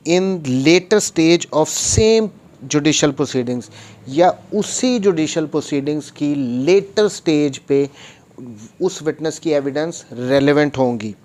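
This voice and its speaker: A man's voice: a little high, a little mellow. A man giving an explanatory law lesson as a lecturer, mixing English terms and phrases into his speech. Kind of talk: explanatory law lesson, reading statute then explaining with examples.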